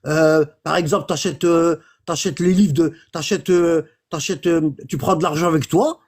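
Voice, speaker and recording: A man with a high, husky voice teaches religion in a relaxed way. He explains step by step, says words again and keeps checking that his listener follows. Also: normal speed. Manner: explains patiently, repeats words and checks understanding. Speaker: religious instructor.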